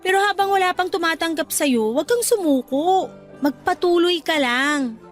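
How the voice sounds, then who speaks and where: A woman acting as an affectionate, encouraging young girlfriend in a radio drama, speaking in a coaxing, animated way. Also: very high-pitched, normal speed, soft background music. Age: young adult. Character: supportive, upbeat young girlfriend cheering on her partner. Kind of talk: Tagalog radio drama dialogue.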